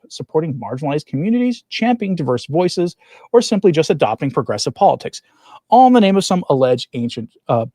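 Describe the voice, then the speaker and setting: A man's voice: on the high side, dark tone, dry recording. A man hosting a religious commentary livestream, talking in an earnest, opinionated way with wry asides. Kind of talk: opinionated commentary, partly reading quoted text aloud.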